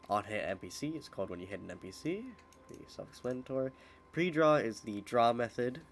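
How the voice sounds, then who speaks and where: A man's voice: mid-range voice, lively intonation, a little fast, a little hiss or hum. A young man hosting a coding tutorial, explaining step by step with frequent "uh"s, his voice slightly off after being sick. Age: young adult. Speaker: modding tutorial host. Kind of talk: screen-recorded coding tutorial.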